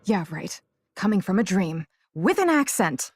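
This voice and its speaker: A woman's voice: medium pitch, sing-song, measured pace, breathy edge, dry recording, clean studio sound. An actress playing a young woman, newly blinded, who is frightened, emotional and defiant. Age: young adult. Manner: agitated, emotional, talks back sharply.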